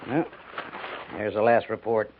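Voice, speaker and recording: An actor playing a firm, defensive army major with an American accent and a clipped, authoritative delivery in a 1950s radio western. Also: male voice, lowish voice, fairly even intonation, a little slow, a little hiss or hum, lightly acted. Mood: concerned. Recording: narrow, tinny 1950s radio recording.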